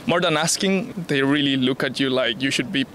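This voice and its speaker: A man's voice: medium pitch, moderate pace, a bit bright, rough recording. A young man from Mexico answers a street interviewer in a city plaza. His voice sits in the middle of the range, and he talks in a thoughtful way full of fillers. A faint street hum runs underneath. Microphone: handheld mic held by interviewer.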